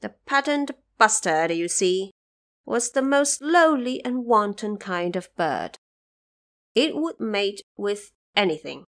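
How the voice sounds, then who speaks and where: A woman narrator telling a scripted story for an audio drama in an expressive, measured way. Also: mid-range voice.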